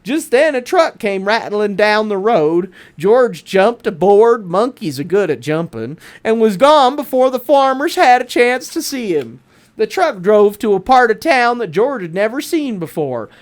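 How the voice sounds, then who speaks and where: A woman reading a children's picture book aloud as an expressive bedtime story, drawing out words for emphasis. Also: medium pitch, a little slow. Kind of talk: animated read-aloud bedtime story narration.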